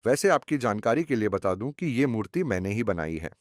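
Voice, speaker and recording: A man's voice: fairly low pitch, very animated, brisk, no echo, studio quality. A man acting as a miserly, boastful village shopkeeper in a cartoon story, bargaining in a brusque, self-satisfied manner. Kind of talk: Hindi cartoon story dubbing.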